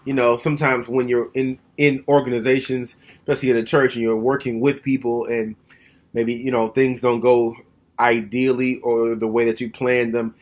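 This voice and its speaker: Thirties male, average pitch, candid and thoughtful, talking to camera at home. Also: flat delivery, moderate pace. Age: 30s. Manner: frank, plainspoken, thoughtful. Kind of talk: casual reflective monologue to camera.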